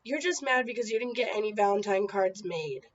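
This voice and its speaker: A woman's voice: mid-range voice, lively intonation, a little fast, muffled recording, natural voice. A woman voicing a frank young schoolboy. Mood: blunt.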